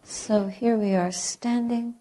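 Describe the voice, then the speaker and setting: A woman teaching guided meditation in a soft, breathy, gentle way, speaking in short deliberate phrases with long pauses. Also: a little high, steady tone, rough recording. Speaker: Buddhist nun, meditation teacher.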